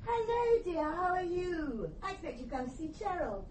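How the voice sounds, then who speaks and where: A woman's voice: extremely high pitch, big pitch swings, moderate pace, a little mellow, slight background noise. An actress playing a kindly, chatty middle-aged mother in a radio drama, breezy and a little fussing. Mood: welcoming, hurried.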